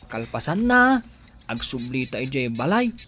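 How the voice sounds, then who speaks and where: A man's voice: medium pitch, very animated, a little slow, pushed and bright, faint music behind. A man playing a devoted, anxious young husband and expectant father in a radio drama, fussing and easily alarmed. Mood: tender, concerned.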